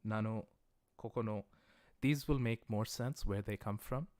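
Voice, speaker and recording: A man's voice: lowish voice, darkish tone, dry recording, clean studio sound. A man whose first language is English, teaching a livestreamed Japanese lesson and patiently explaining patterns step by step. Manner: patient, explains step by step, reads viewer questions aloud; slows down to pronounce Japanese words carefully.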